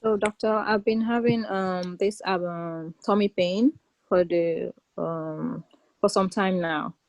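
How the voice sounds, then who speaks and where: A woman role-playing a patient in a mock exam, speaking haltingly over a video call with many ums. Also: on the low side, fairly even intonation, dry recording.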